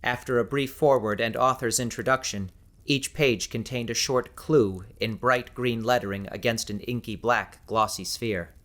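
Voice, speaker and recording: A man narrating scripted fiction as an audiobook narrator, in a measured, descriptive storytelling manner. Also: mid-range voice, fairly even intonation, a bit bright.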